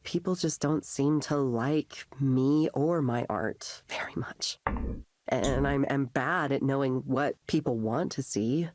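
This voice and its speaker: Very low-voiced, discouraged woman artist. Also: darkish tone, dry recording, heavily acted. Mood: dejected. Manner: confessional, halting, self-doubting. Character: discouraged, anxious young woman artist in therapy. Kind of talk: visual novel voice acting. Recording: game dialogue under clear music.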